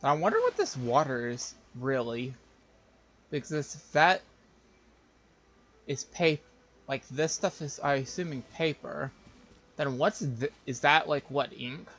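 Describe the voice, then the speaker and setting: A man's voice: mid-range voice, animated, a little slow, long pauses, a bit bright. A man livestreaming a video game, musing aloud in casual, hesitant commentary. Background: game music.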